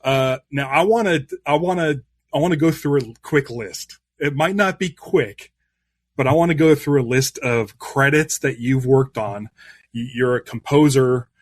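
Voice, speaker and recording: A man's voice: mid-range voice, unhurried, no room sound. A man hosting a live-stream interview show, eager and rambling with plenty of restarts.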